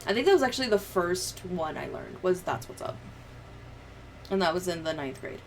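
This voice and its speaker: A woman's voice: fairly low pitch, very animated, moderate pace, vocal fry, a little hiss or hum, poor audio. A woman livestreamer chatting and telling stories in a casual, sweary, giggly way. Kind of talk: casual livestream chat, storytelling.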